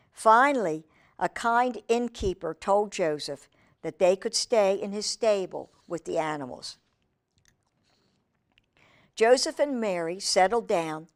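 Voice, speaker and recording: Lady doing kids storytime, reads real slow and expressive, medium pitch, talks right to the little ones. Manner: deliberate, expressive, addressing young listeners. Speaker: storyteller reading to children. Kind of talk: read-aloud children's storytime.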